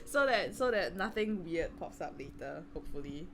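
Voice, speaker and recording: A woman's voice: lowish voice, animated, moderate pace, background noise. A woman chatting casually as a co-host, hesitant and thinking aloud, on a home recording. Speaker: co-host and voice reader. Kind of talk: casual chat and commentary.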